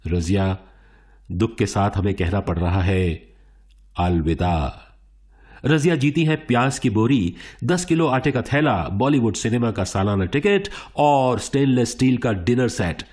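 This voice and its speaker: An actor playing a showy quiz show host in a radio play, teasing and polite, announcing theatrically. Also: male voice, lowish voice, measured pace, darkish tone, natural voice. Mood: mock regretful. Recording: music under the voice. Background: music.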